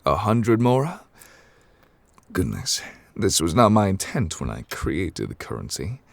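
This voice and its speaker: A man playing an ancient, courteous, absent-minded god in an ASMR roleplay, speaking formally in a low, gravelly voice with hesitant pauses. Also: wildly swooping pitch, no echo, exaggerated voice. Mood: polite, puzzled. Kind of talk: ASMR audio roleplay, improvised in-character monologue. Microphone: close, dry microphone.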